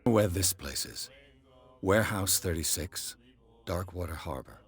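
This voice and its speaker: A dogged male investigator from a video game, low and husky in voice. He speaks in terse, clipped questions, and his intonation swings widely while staying blunt. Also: lively intonation, measured pace, exaggerated voice. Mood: terse, probing. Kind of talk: video game cutscene dialogue.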